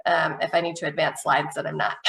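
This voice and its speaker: Echoey, lower-pitched woman hosting online. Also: little pitch movement, fairly quick, gravelly, a bit bright. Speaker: virtual event host. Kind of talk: casual spoken announcements and instructions. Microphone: video call. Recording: echoey, distant microphone.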